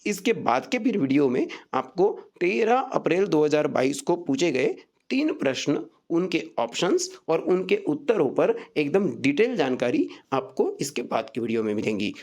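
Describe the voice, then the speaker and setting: Male explainer host, slightly high pitch with noticeable fry, informal and instructive, repeats key points for viewers. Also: very animated, moderate pace, darkish tone. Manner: repetitive, instructive, addresses viewers directly. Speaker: online video presenter.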